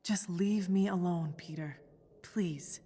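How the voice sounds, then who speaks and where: A woman's voice: fairly low pitch, slow, dark tone, breathy edge, big-room sound. An actress voicing a hurt, guarded young woman in a video game, with a curt, reproachful manner. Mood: hurt, angry. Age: young adult.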